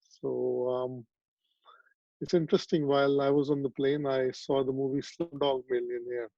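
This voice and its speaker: A man's voice: medium pitch, level tone, moderate pace, dark tone, dry recording, lo-fi sound. A man, telling his story reflectively in an interview over a video call, with many ums and restarts. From Pakistan. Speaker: psychiatrist guest.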